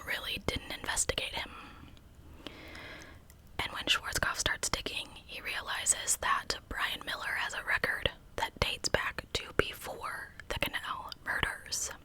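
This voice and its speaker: A woman telling true crime stories as ASMR in a breathy, unvoiced whisper with a conversational manner. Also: unhurried, pushed and bright. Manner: conversational narration.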